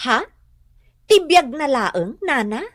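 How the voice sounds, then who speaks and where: A woman's voice: high voice, sing-song, slow, no echo, studio quality, exaggerated voice. An actress playing an outspoken, insistent woman in a radio drama, with an Ilocano accent. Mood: prying. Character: outspoken, insistent woman arguing with an older mother.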